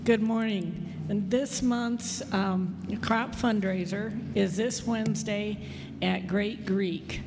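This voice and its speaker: Gravelly-voiced woman, slow church notice. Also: mid-range voice, steady tone, music in the background. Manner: halting, with many fillers. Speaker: congregation member with announcements. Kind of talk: church announcement. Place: church sanctuary. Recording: long church hall reverb.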